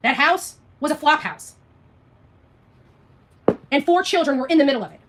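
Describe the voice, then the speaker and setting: A woman's voice: high voice, expressive, moderate pace, noisy background. A woman hosting an unscripted livestream rant, arguing with viewers in a combative, emphatic way, repeating phrases and firing off rhetorical questions. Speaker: livestream host responding to viewer comments.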